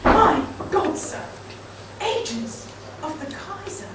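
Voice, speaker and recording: A noisy, very echoey recording has a woman acting a pompous, dutiful officer. The very high voice is formal and exclamatory, in the manner of a comic radio play. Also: big pitch swings, takes it slowly, exaggerated voice. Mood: alarmed. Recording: distant, very echoey hall, clear noise.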